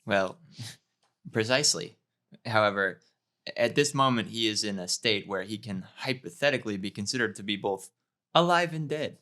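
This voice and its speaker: A man's voice: fairly low pitch, expressive, normal speed, pushed and bright. Man reading as smug, evasive physicist. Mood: breezy, evasive. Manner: pedantic, self-justifying. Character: smug, evasive male physicist explaining away a dead cat. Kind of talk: comedy sketch table read.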